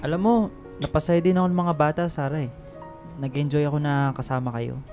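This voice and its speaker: An actor plays a kindly father figure in a radio drama. He is gentle and affectionate with a fatherly way of talking. Faint music sits behind the voice. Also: on the high side, flat delivery, a little fast, natural voice. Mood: tender.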